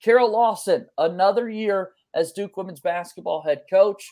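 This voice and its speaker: A man's voice: high voice, moderate pace, a little mellow. A man in his twenties presenting a sports podcast as host from a room at home over a video call. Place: home bedroom or office, indoors. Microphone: video call, webcam framing, wired earbuds.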